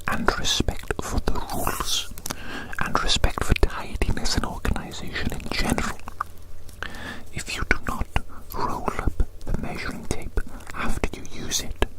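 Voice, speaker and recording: A man whispering a breathy ASMR roleplay monologue in a rambling, repetitive, whimsically fussy way. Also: a little slow, poor audio.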